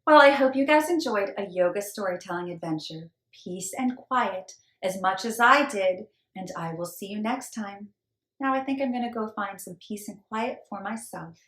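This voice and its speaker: Woman, children's storytime host, medium pitch, read-aloud delivery, echoey room. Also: normal speed, a bit bright. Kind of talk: read-aloud explanation and friendly sign-off for children.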